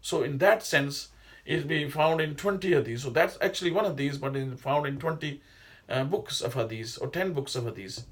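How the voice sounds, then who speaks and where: A man's voice: fairly high pitch, moderate pace. A middle-aged man, whose first language is not Arabic, giving an informal religious lesson in a rambling, digressive way with many hesitations and restarts. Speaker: Islamic teacher.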